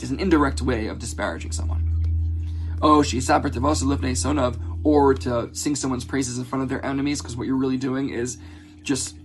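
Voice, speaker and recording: Male religious teacher, gravelly voice, halting explanatory delivery with repeated words, moving between Hebrew quotation and English, recorded with background hum. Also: mid-range voice. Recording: clear background noise with a hum.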